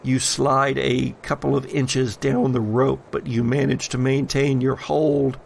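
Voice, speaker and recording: A man runs a tabletop horror game as its game master. His voice is mid-pitched with a creaky grain, and his narration moves slowly with dramatic pauses, over slight background noise. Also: flat delivery, a little mellow. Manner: deliberate, dramatic pausing when narrating.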